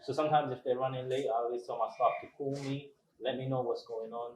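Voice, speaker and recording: A man, a restaurant owner answering interview questions in a relaxed, measured way with many pauses. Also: mid-range voice, slight background noise. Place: small restaurant. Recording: roomy echo.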